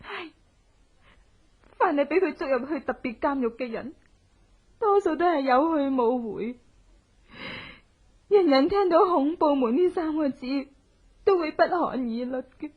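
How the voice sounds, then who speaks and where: An actress playing a grieving, humiliated general's widow in a melodramatic, anguished radio drama style. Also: female voice, sits high, animated, a little slow, pauses often. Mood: bitter, sorrowful. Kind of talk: Cantonese radio drama acting. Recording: dull, lo-fi old radio drama.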